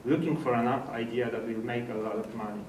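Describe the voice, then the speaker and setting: A man from Poland with a Polish accent gives an informal technical presentation in slightly halting non-native English. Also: mid-range voice, steady tone, darkish tone. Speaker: speaker at a developer meetup. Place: indoor meetup presentation room. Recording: echoey room, distant mic, faint noise.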